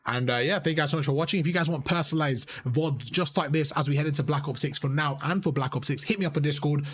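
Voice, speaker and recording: A man's voice: fairly high pitch, fast, fairly bright tone, husky. A young man coaching players and chatting with his live-stream audience in a casual, repetitive way, his voice strained from talking. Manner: chatty, repeats phrases, addresses chat as bro.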